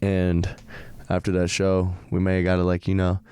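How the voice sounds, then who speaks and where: A man's voice: deep voice, monotone, normal speed, a little mellow, smooth, no echo. A young man, a musician guest, chatting in a laid-back way on a podcast. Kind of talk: casual podcast chat. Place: podcast studio.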